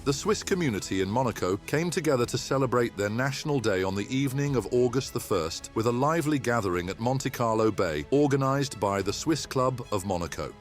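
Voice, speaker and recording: A man reading scripted news items in a steady, measured voice, his pitch in the middle range. A quiet music bed plays faintly under the narration. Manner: measured, even newsreader delivery. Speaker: news presenter.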